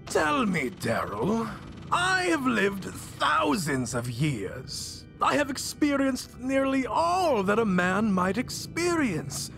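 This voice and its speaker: High theatrical old evil genius for a game, super pompous, swoops everywhere, bit of music behind. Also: male voice, huge pitch swings, vocal fry, fairly bright tone, heavily acted. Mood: sinister musing. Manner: pompous, grandiose, mock-polite, drawn-out theatrical delivery. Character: flamboyant ancient male mastermind villain, gleefully theatrical. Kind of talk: theatrical video game villain acting.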